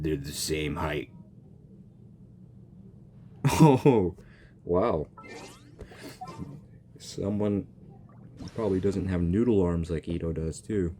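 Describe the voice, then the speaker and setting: A young man with a very low voice reacts to a video game, joking and excitably talking back to its characters. His pitch swings widely as he reacts. Faint game music runs underneath. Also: slow, pauses often. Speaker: gaming YouTuber. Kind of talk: casual game reaction commentary.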